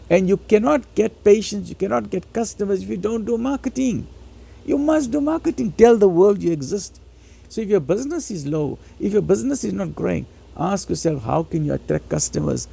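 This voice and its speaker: A man with a high, very creaky voice delivers a motivational Islamic lecture. His tone is husky, and he exhorts listeners with emphatic repetition. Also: dark tone. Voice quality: raspy, gravelly. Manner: emphatic, exhortatory, repeats phrases for stress. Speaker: religious lecturer.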